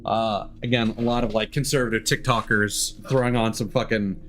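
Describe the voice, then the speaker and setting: A man's voice: lowish voice, fairly even intonation, moderate pace, a bit bright. A man doing casual live stream commentary on a game, rambling and swearing casually.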